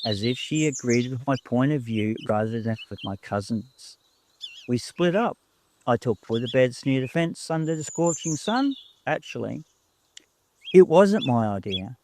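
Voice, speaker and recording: A man reading a first-person story as an audiobook narrator, in an expressive storybook style. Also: medium pitch, slight background noise.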